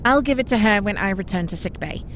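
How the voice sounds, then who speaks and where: A woman's voice: mid-range voice, expressive, fast talker, slight background noise, lightly acted, poor audio. A woman playing a courteous, wry starship chief medical officer in a science fiction audio drama.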